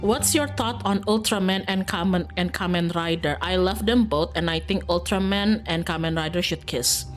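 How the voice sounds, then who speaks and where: A woman's voice: on the low side, moderate pace, hardly any pauses. A woman livestreaming a visual novel, reading the game text aloud and breaking off into playful, self-teasing, casual asides to viewers. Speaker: streamer playing a visual novel. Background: faint game music.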